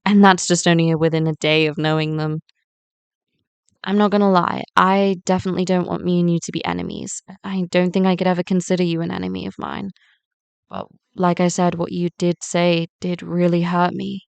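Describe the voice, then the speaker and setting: A woman's voice: lowish voice, fairly quick, gravelly, clean tone, no room sound. A young woman playing a hurt, unforgiving teenage schoolgirl in an amateur voiced game series, speaking in an emphatic conversational way. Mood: hurt, accusing.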